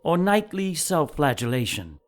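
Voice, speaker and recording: A man playing a cruel, exacting cult master in an audio drama, speaking in a measured, formal, threatening way. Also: fairly high pitch, big pitch swings. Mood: coldly menacing.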